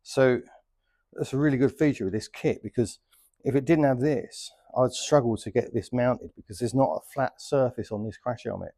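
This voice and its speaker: A man's voice: medium pitch, normal speed, vocal fry, warm tone. A middle-aged man giving a casual, chatty, plain-spoken product review as a vlogger. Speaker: vlogger reviewing motorcycle gear. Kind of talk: casual unboxing and review talk.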